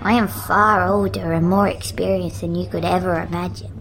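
A woman's voice: on the low side, steady tone, moderate pace, creaky, a bit bright, slight background noise, poor audio. A woman voicing an uncanny little girl with an upper-class English accent, prim, pompous and teasing. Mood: superior. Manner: pompous, teasing, sing-song. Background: music.

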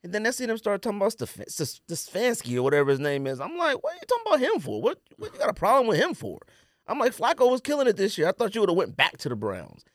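Man, American accent, lively swinging pitch, slangy joking podcast banter, dry close sound. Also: fast, dry recording. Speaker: podcast host. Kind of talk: casual sports and sneaker banter.

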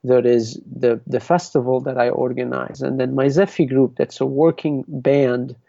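A man's voice: mid-range voice, little pitch movement, moderate pace, gravelly, warm tone, dry recording, dull audio. A man from Lebanon, a dance artist, talking reflectively in a podcast interview, with many restarts. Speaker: guest, belly dance artist.

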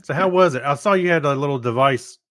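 A man's voice: fairly high pitch, fast, a bit bright, no room sound, studio quality. An American man co-hosting a podcast, chatting casually and informally with joking interjections. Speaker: podcast co-host.

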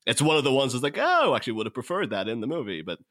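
A man's voice: mid-range voice, very animated, very fast, a bit bright. A man talking casually about films as a podcast guest, explaining things in a rambling, conversational way. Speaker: podcast guest, co-host of a film podcast. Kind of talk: casual film discussion.

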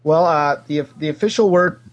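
A man's voice: a little high, moderate pace, dull audio. A man giving casual, anecdotal answers as a podcast guest, a talkative storyteller who acts out what people said. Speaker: podcast guest, former theme park character actor.

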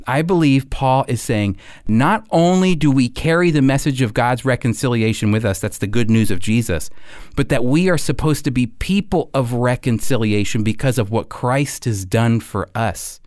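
A man giving a scripted radio sermon as host and Bible teacher, speaking earnestly with deliberate, emphatic phrasing. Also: mid-range voice, a little mellow, no echo, clean studio sound.

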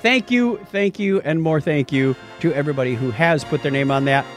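A man's voice: on the high side, a little fast, soft background music. A man hosting a podcast in a casual, chatty style, bantering and joking as he goes. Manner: jokey, chatty. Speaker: podcast host. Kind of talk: casual news and birthdays banter.

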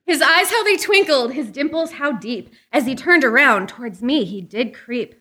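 A woman's voice: high-pitched, animated, normal speed, noisy background. A woman performing a comic parody poem live with theatrical storybook delivery. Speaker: live variety show performer. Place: live event at a comic book shop.

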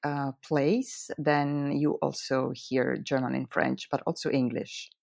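Woman, Italian accent, low voice, hesitant with many fillers, dry close sound. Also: flat delivery, slow, vocal fry, darkish tone, no echo. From Italian-speaking Switzerland. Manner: hesitant, searching for words, frequent fillers and laughs. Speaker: podcast guest. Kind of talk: casual conversation.

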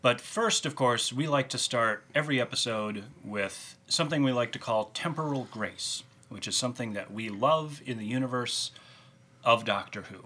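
A man's voice: mid-range voice, normal speed, sharp tone. A middle-aged man hosting a podcast in a casual, chatty style. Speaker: podcast host. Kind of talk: casual chat.

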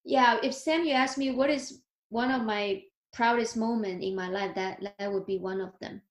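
A woman in her thirties with a Chinese accent tells her story as a guest on a video call. She sounds earnest and grateful, speaking gently with small slips in her English. The sound is echoey, as if she is in a bare room. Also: fairly high pitch, moderate pace. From China. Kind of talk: interview, telling her life story.

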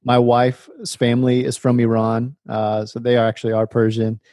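A man's voice: medium pitch, monotone, moderate pace, a little mellow, dry recording, studio quality. A man hosting a podcast, friendly and affirming, chatting casually with a guest. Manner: friendly, affirming, laughs often. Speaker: podcast host. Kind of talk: casual interview chat.

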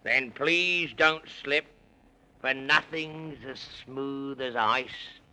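A man playing a jaunty, gentlemanly companion in a 1930s children's radio serial. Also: medium pitch, extremely slow, heavily acted. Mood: cautioning. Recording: noisy vintage 1930s radio recording.

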